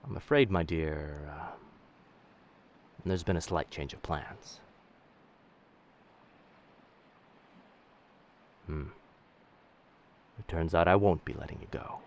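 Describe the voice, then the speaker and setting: A man's voice: deep voice, a little slow, long pauses. A man playing a roguish, commanding pirate captain in a roleplay audio drama, speaking in old seafaring diction. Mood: cool, commanding. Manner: old seafaring diction, addresses others as lass and sir.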